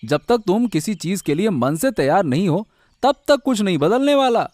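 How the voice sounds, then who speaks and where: A man's voice: sits high, sing-song, normal speed, darkish tone, no room sound. A man playing a bossy but caring husband in an acted story, chatty, emphatic and affectionately nagging. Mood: chiding. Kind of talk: acted Hindi audio story dialogue.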